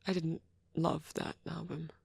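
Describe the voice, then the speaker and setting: A man doing a soft, often whispered ASMR roleplay as a shy, gentle young vampire boyfriend, rambling hesitantly in a breathy, husky voice. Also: a little high, level tone, measured pace, dry recording.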